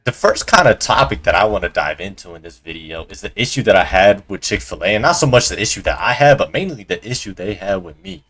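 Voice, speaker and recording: A man's voice: lowish voice, sing-song, fast, few pauses, fairly bright tone. A young man from Colorado telling a casual, profane story to camera as a vlogger, heavy on slang fillers, recorded at home on a cheap desk mic. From Colorado, USA. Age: young adult. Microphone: cheap desk mic resting on a coin jar.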